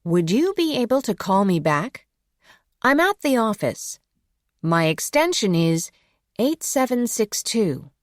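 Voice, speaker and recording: A woman reading scripted example phone messages for language learners, enunciating clearly and carefully. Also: medium pitch, very animated, takes it slowly, dry recording, studio quality. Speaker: English course voice artist.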